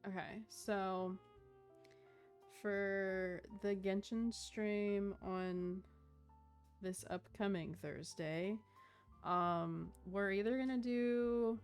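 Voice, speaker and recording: A woman's voice: mid-range voice, steady tone, drawn-out words, clean tone. A woman game streamer talking casually and informally to her viewers while she plays. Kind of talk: casual livestream chat while gaming. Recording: game music behind the voice. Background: game music.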